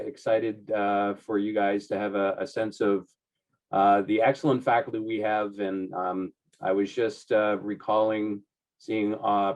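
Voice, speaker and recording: A lower-pitched man speaking off the cuff as a college dean. He hesitates often, filling gaps with "uh" and "um" as he finds his words, sounding caught off guard yet friendly. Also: darkish tone, rough recording. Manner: halting, many fillers. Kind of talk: impromptu remarks at online info session.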